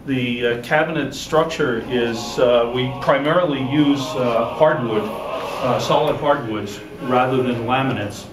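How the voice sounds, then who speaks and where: A man explaining a product in an interview, halting and matter-of-fact. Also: medium pitch, background noise. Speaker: loudspeaker maker. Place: exhibit room at an audio show. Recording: echoey room, music behind.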